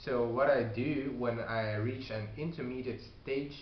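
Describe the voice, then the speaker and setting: A man who is not a native English speaker, giving casual advice on learning a language, talking haltingly with many fillers and restarts. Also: medium pitch, fairly even intonation. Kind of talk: casual video response. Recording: echoey room, dull lo-fi sound, faint hiss.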